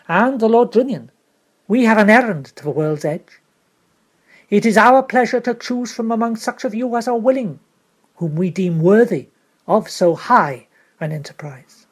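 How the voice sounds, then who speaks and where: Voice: mid-range voice, voice pushed up, lightly acted. A reader voicing a young male king who speaks in a regal, formal, commanding way.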